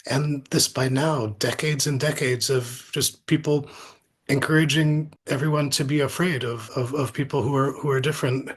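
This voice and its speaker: A middle-aged man giving reflective, anecdotal interview answers as an academic guest, thoughtful and halting, often repeating words. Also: medium pitch, steady tone, breathy edge.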